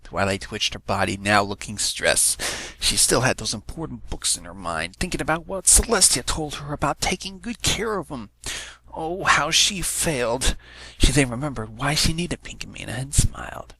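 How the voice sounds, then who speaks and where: A man's voice: medium pitch, lively intonation, moderate pace, gravelly, sharp tone, slightly rough, dry recording, low-quality recording. A man reading fan fiction aloud as a scripted story narration.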